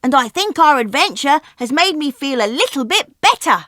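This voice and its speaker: A woman's voice: high voice, very animated, normal speed, a bit bright, no room sound, clean studio sound, pitched above the performer's own voice. A woman voicing a plucky young boy prince in a storybook, with a plaintive, earnest manner. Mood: proud, relieved.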